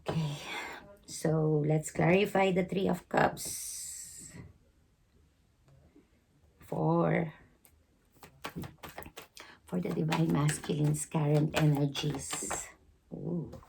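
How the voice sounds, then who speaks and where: A woman with a hoarse, deeply gravelly voice gives a tarot reading, her tone low and flat. She talks slowly and haltingly, filling pauses with soft hesitations. Her voice is husky and mellow. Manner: slow, halting, many filler pauses. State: losing her voice. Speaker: tarot reader.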